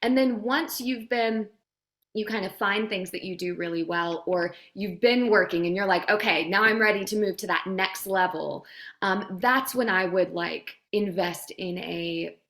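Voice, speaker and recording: A woman's voice: on the low side, a bit bright. Woman coach giving informal, encouraging advice. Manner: informal, validating, conversational. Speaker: guest coach, voice demo producer.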